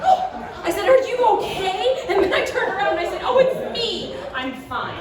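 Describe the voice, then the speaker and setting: A woman comedian telling a story on stage at a bar, animated and laughing as she acts out her own lines. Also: high voice. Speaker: stand-up comedian. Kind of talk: storytelling stand-up set. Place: bar or club with a live audience. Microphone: handheld stage mic. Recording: echoey room from far off with crowd noise. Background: crowd murmur and chatter.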